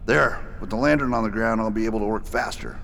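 A man playing a determined US marshal in a radio drama, calm, encouraging and in charge. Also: on the low side, fast, vocal fry. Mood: steady. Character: steady, determined male US marshal hero. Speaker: lead character. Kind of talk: amateur radio drama acting. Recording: echoey room with faint noise.

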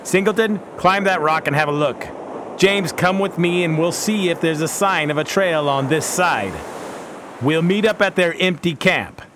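Actor as stern, high-voiced sea captain. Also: male voice, moderate pace, close to an everyday voice. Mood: brisk command. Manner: commanding, blunt. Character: stern, commanding, wary sea captain hunting pirates. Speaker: actor in a radio play. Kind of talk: radio theater acting. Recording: noisy outdoor ambience behind voice.

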